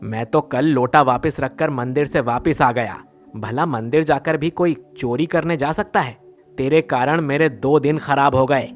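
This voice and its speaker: A man's voice: mid-range voice, unhurried, faint music behind, close to an everyday voice. A man playing a greedy village thief in a devotional story, brash, colloquial and grumbling. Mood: uneasy.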